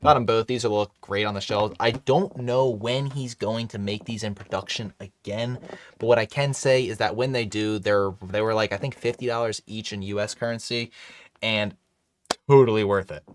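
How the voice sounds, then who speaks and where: A man's voice: fairly low pitch, steady tone, normal speed, slight background noise. A man hosting a casual live stream, chatting loosely with many fillers and restarts while reading chat. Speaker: livestream host.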